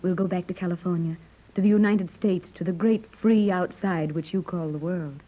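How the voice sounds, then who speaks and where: A woman's voice: on the low side, moderate pace, natural voice. A woman playing a tender, anxious wealthy American mother, earnest and melodramatic in 1930s radio serial style. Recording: muffled old 1930s radio recording.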